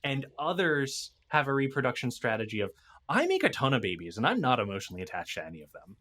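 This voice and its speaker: A man in a casual podcast discussion, stopping and restarting as he thinks out loud, with animated asides. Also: medium pitch, fast talker. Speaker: podcast guest.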